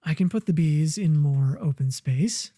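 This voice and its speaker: A woman plays through a survival game and chats to herself in a slow, unhurried ramble. Her voice sits low and mellow. The sound is dry and close, with no room around it. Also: no echo. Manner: relaxed, muttering to herself while building. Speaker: gamer. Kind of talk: casual let's-play commentary.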